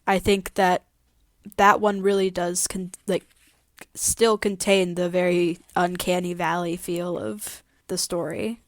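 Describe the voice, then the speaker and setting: A woman's voice: lowish voice, flat delivery, slow, dry recording, studio quality. A woman co-hosting a podcast, talking casually as she discusses a story. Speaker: podcast co-host.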